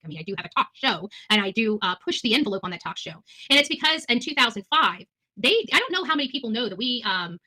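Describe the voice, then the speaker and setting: A woman's voice: mid-range voice, sharp tone, slightly rough. An American woman answering questions as a guest on a live stream, blunt, combative and given to swearing in unscripted talk. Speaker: talk show host.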